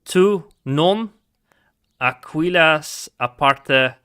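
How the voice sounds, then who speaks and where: A man's voice: a little high, lively intonation, extremely slow, sharp tone, smooth. A man with a Dutch accent, chatting casually while streaming a game, giggly and hesitant with many ums. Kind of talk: casual gameplay commentary.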